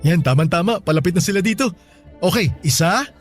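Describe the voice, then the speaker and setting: A man's voice: sits high, lively intonation, fairly quick, over music. An actor playing a friendly, good-humored male store boss with a Filipino accent, chatting easily in a radio drama. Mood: eager, cheerful. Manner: chatty, chuckling between remarks. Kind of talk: Tagalog radio drama acting, conversational.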